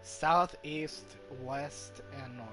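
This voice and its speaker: A man's voice: fairly high pitch, very slow, rough recording. A man giving casual, off-the-cuff commentary while playing a video game, with its music behind him. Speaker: gamer commenting on own gameplay.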